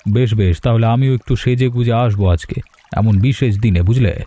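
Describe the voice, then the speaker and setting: A man voicing a kindly elderly village man in an animated cartoon, speaking gently and fatherly. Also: mid-range voice, a little fast, dry recording, close to an everyday voice. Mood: friendly. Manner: gentle, fatherly, calls others "ma".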